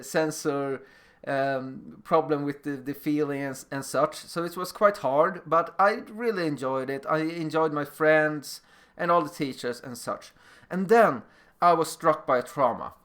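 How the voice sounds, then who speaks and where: A man's voice: fairly high pitch, a bit bright. A man from Sweden with a Swedish accent, a non-native speaker giving an informal presentation as a teacher in plain, slightly non-fluent phrasing. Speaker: middle school teacher giving a lecture. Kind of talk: informal recorded presentation with personal stories.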